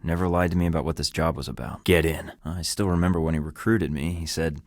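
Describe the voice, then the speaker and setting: An actor playing a cocky, quick-witted young male spy with a dry, quippy delivery in a video game. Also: extremely low pitch, fairly even intonation, a little mellow, no echo. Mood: wry. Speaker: secret agent.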